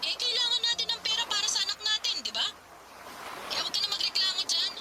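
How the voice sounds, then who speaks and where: A Filipino woman in a radio drama playing a callous, scheming young wife with a brisk, scolding, dismissive manner. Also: very high voice, noisy background.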